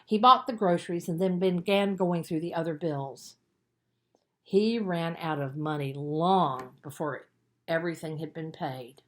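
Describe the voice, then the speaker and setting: A woman narrating a children's book as its author, in an expressive storytelling manner with deliberate emphasis. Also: low voice. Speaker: author reading own book.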